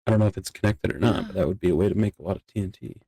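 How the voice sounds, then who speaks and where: A man's voice: low-pitched, very fast, vocal fry, warm tone, slight background noise. A man talking casually and teasingly with dry delivery during a gaming roleplay. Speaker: Minecraft roleplay player.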